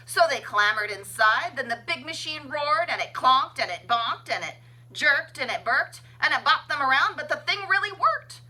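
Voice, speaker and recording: A woman's voice: high-pitched, expressive, moderate pace, sharp tone, rough recording. A woman reading a rhyming children's story aloud with dramatic, playful emphasis. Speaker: children's library story reader. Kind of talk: expressive read-aloud storytelling in rhyme.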